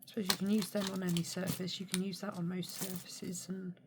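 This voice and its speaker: A woman with a hoarse, snuffly cold, chatting casually and quietly as she unboxes art supplies, with many hesitations. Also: fairly low pitch, a little fast. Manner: soft-spoken, often drops to a near whisper; mildly critical, hesitant with many ums. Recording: steady background noise.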